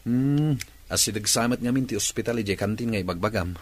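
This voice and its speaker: A man's voice: on the low side, brisk, lightly acted. A young man acting a blunt single office worker in an Ilocano radio drama. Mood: joking, friendly.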